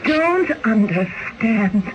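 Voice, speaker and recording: An actress playing a spoiled, discontented young wife in a radio drama, haughty and complaining. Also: female voice, on the high side, sing-song, drawn-out words, a little hiss or hum, exaggerated voice. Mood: aggrieved. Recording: muffled lo-fi radio drama broadcast.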